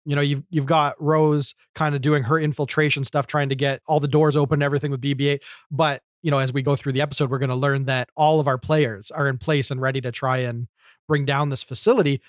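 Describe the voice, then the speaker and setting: A man's voice: mid-range voice, level tone, quick pace, no echo, studio quality. A man co-hosting a podcast, talking casually and at length in a chatty, explanatory way. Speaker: podcast co-host. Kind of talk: casual discussion and commentary.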